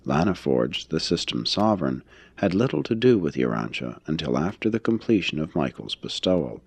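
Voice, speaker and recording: A man reading a religious text aloud as scripted narration, in a formal, measured, expository manner. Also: rumbling low, very animated, slightly rough, no room sound. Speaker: audiobook reader.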